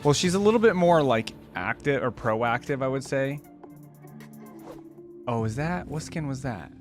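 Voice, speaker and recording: A man's voice: mid-range voice, lively intonation, measured pace, over music. A man hosting a reaction video, giving casual off-the-cuff commentary.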